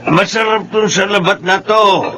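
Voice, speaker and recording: A man's voice: high-pitched, a little slow, pushed and bright, slight background noise. An actor with a Filipino accent playing an anxious, reflective man of sixty in a radio drama. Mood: friendly, bantering. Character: anxious sixty-year-old village man, reflective and sociable.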